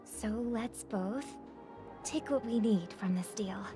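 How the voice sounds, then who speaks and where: A woman's voice: on the high side, slow pace. An actress playing a poised, cagey woman striking a deal in a video game. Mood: guarded. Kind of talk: scripted video game voice acting. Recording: music behind the voice. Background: game music.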